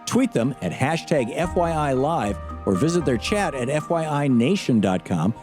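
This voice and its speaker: Animated male promo announcer over music. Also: a little high, moderate pace. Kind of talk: scripted promo read.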